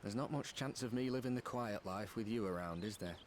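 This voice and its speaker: Actor as low-voiced loyal fantasy friend. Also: male voice, a little fast, background noise, close to an everyday voice. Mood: wistful. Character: earnest, loyal male friend in a fantasy world. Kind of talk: dramatic video game voice acting. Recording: music behind the voice.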